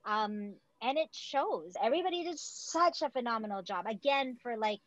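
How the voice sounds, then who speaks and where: A woman's voice: fairly high pitch, moderate pace, dry recording, muffled recording. Chatty woman on a dry video call. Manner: animated, talkative, laughs often, many fillers. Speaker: theatre director. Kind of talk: casual interview on a video call. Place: home room, indoors. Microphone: laptop webcam on video call.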